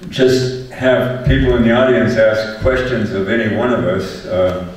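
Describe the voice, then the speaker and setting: A man's voice: medium pitch, steady tone, a little slow. An older man and former teacher moderating a surf panel, telling rambling stories with many restarts. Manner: chatty anecdotes with many restarts. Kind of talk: storytelling on a panel. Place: event hall with audience. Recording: echoey hall, voice from far off.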